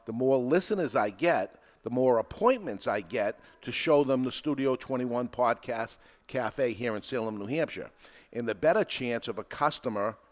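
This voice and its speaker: A man with a New England accent hosting a live podcast in a studio, talking plainly and pushing each point with energy. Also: medium pitch. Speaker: podcast host.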